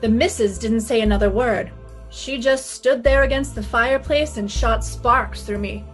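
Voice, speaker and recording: A woman's voice: on the high side, moderate pace, faint music behind, natural voice, low-quality recording. A woman playing a brash, wisecracking young private detective in a retro radio drama, wry and self-assured. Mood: wry narrating.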